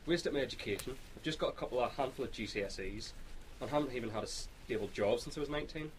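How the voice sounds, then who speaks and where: A man's voice: medium pitch, quick pace, lightly acted, poor audio. Guy doing a hesitant young survivor in a radio drama, puts himself down, stammers, bit of background noise. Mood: downcast. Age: 20s. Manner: hesitant, stammering, self-deprecating. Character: insecure, self-doubting young male apocalypse survivor.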